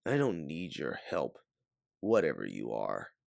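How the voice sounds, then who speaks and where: A man's voice: rumbling low, huge pitch swings, measured pace, gravelly, darkish tone, no room sound, studio quality, exaggerated voice. A man voicing a tough, guarded female bounty hunter with a curt, defiant manner in an audiobook. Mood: suspicious, dismissive. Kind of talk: audiobook character voice.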